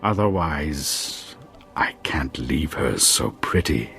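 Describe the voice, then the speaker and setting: A man acting a smooth, menacing arms dealer in a video game, oily and businesslike. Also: very deep voice, animated, takes it slowly, bright, forceful tone, soft background music, heavily acted. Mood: quiet menace. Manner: oily, businesslike, veiled threats.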